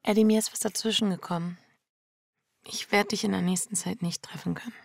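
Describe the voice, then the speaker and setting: A woman's voice: medium pitch, fairly even intonation, brisk, vocal fry, no echo. An actress playing a restless, introspective wife confessing her affair in an intimate radio drama. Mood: curt, evasive. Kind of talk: intimate first-person radio drama acting.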